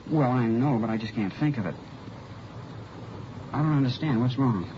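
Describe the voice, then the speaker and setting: A man's voice: medium pitch, normal speed, natural voice. Man playing a nervous young radio suspect, muffled recording. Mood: evasive, uneasy. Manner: defensive, faltering, pleading. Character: frightened evasive young man cornered as a suspect. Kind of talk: 1950s radio crime drama acting. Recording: muffled vintage radio recording, clear hiss.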